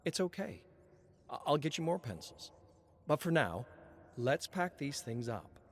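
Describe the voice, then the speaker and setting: A man voicing a gentle, worried mother soothing her child in a dramatic story reading. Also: a little high, sing-song, a little slow, voice pushed up. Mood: soothing, hurried.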